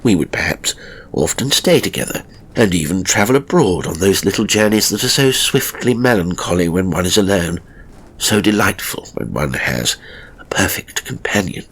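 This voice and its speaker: A man narrating a literary ghost story in the first person, with measured, dramatic phrasing and deliberate pauses. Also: low voice, big pitch swings, fairly bright tone, slightly rough. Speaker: audiobook narrator. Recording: music behind the voice. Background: music.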